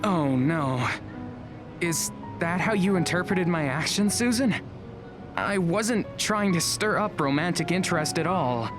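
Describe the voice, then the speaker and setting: A woman's voice: on the low side, lively intonation, a little slow, music in the background. A woman playing a shy, polite teenage schoolboy in an audio drama, hesitant and prone to stammering. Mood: awkward, apologetic.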